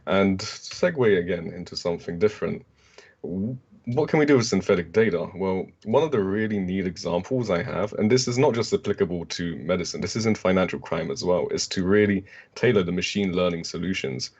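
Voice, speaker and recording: Low-voiced man co-presenting on a video call, fluent and relaxed, dull audio. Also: a little fast, slightly rough. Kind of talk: online conference talk.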